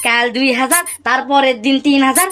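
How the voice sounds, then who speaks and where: A man's voice: extremely high pitch, a little fast, bright, forceful tone. A man voicing a naive, pestering young village son-in-law in a comic cartoon, with a whiny manner and a northern Bangladeshi accent. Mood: whiny indignation. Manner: innocent, pestering questions with whiny indignation.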